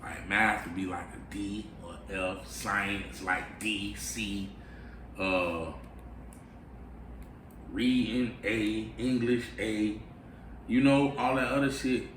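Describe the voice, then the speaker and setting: A man's voice: lowish voice, fairly even intonation, takes it slowly, pauses often, noisy background. A man from Florida, a rapper, chatting loosely with lots of slang and swearing in an interview. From Fort Lauderdale, Florida. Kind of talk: casual interview chat. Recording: echoey room, mic far off, music behind.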